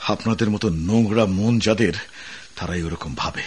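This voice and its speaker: A man's voice: on the low side, moderate pace, darkish tone, breathy edge. A man playing a principled office manager who answers accusations with formal, dignified defiance in a radio drama. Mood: defiant. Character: proud, principled male office manager and writer. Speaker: administration manager. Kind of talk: broadcast radio drama acting.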